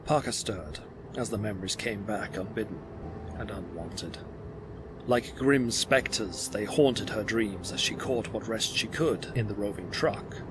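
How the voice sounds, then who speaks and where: A man's voice: on the low side, unhurried, noisy background. A man giving dramatic scripted narration for an audio drama.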